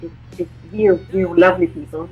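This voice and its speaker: A woman's voice: lowish voice, fairly even intonation, brisk, husky, narrow, tinny audio, faint music behind. An older woman from Guyana, reminiscing as an interview guest in a wistful, trailing way.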